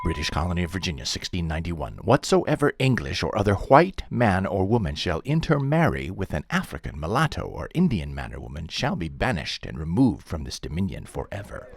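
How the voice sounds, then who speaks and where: A man's voice: low voice, very animated, brisk, hardly any pauses, slightly rough, no echo, studio quality. A man narrating and announcing for an audio drama in a scripted delivery, with guitar behind him. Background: guitar music.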